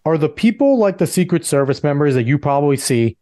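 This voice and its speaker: A man's voice: a little high, lively intonation, fairly quick, warm tone, no room sound, clean studio sound. A man from New Jersey hosting a podcast, asking friendly, conversational questions. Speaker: podcast host. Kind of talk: casual interview.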